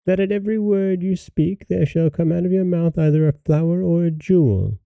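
A man voicing a composed, stern female fairy in a storybook, calm and measured. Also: high-pitched, creaky, clear, resonant tone, dry recording, highs cut off, voice pushed up. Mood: gracious. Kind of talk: storybook character reading.

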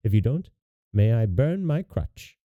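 A man's voice: medium pitch, sing-song, a little slow. A man voicing an ancient, ugly old woman fairy in a storybook, cackling and threatening as she scolds. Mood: menacing. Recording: roomy, narrow phone-like sound.

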